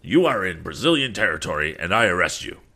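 Man acting out imperious naval officer, loudly declamatory. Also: low voice, big pitch swings, fast, full character voice. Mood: imperious, menacing. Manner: declamatory, barking orders. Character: imperious, gold-laced Brazilian naval officer, commanding and theatrical.

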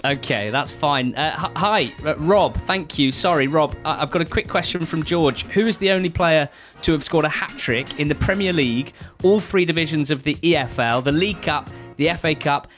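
A man co-hosting a podcast, chatting in an animated way and laughing often. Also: fairly high pitch, normal speed, soft background music. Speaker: podcast co-host. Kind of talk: casual chat.

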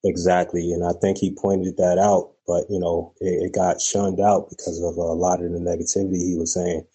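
Deep husky-voiced male caller. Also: level tone, moderate pace, dark tone, low-quality recording. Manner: rambling, many "you know" fillers. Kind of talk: casual call-in talk.

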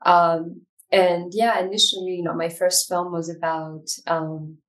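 A woman's voice: on the low side, flat delivery, a little slow. Reflective woman from Ethiopia, slightly distant. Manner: reflective, frequent fillers and restarts. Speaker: guest, documentary filmmaker. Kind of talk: conversational interview answers.

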